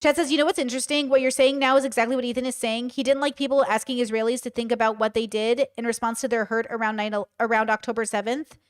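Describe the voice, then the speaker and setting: A woman's voice: fairly high pitch, steady tone, fast, few pauses. A woman in her thirties streaming live, talking candidly to her chat with frequent swearing and rhetorical questions. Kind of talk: livestream commentary, reading chat.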